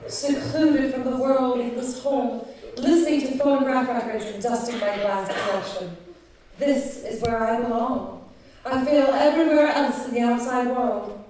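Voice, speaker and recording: A woman's voice: on the high side, fairly even intonation, normal speed, darkish tone, close to an everyday voice. A woman playing a coy, dramatic theater hopeful in a live old-time radio comedy. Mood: melodramatic. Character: gushing, flirty theater hopeful, hungry for a part. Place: community center, live stage show. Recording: echoey hall, far from mic.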